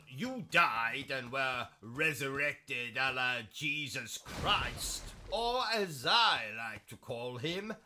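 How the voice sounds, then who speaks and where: A man's voice: fairly high pitch, bright, forceful tone, slight background noise, full character voice. A man with a British accent playing a pompous, rude, deranged posh gamer who hosts a gameplay stream and talks in a haughty, mock-grand way with rude outbursts. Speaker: gaming streamer persona. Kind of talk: comedic gameplay commentary.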